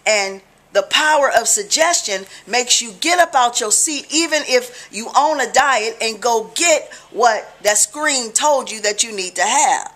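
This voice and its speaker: American woman, deliberate informal teaching talk. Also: a little high, animated, a bit bright. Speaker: religious video blogger. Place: small living room, indoors on a couch.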